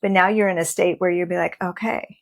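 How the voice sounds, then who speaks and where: A woman's voice: on the low side, steady tone, brisk, vocal fry. A woman co-hosting a podcast, talking in a reflective, encouraging and reassuring way. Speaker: podcast co-host. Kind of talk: supportive, reflective conversation.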